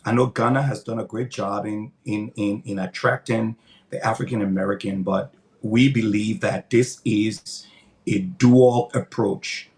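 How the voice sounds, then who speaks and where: An older man speaks with a low, gravelly voice and a rough edge of fry. He answers interview questions like a pastor, earnest and emphatic. He often repeats words as he builds toward a point. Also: fairly even intonation, unhurried, darkish tone. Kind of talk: interview answers, preaching cadence.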